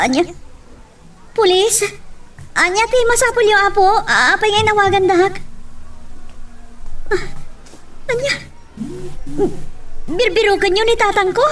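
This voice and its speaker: A woman's voice: extremely high pitch, fairly bright tone, full character voice. A woman playing a hurt, devoted young wife in an Ilocano audio love drama, with an Ilocano accent. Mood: alarmed, questioning. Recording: slightly distant mic with music bed.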